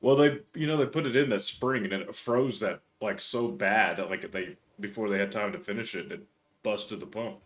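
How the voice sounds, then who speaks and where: A young man chatting casually on a game stream, hoarse and congested from screaming. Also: fairly low pitch, normal speed. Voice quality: croaky, congested. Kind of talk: casual chat while gaming.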